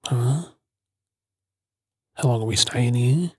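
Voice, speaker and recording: An Australian man playing a gruff but caring zombie apocalypse survivor in a breathy, often whispered ASMR role-play. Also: mid-range voice, slow pace, no echo. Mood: tired, reassuring.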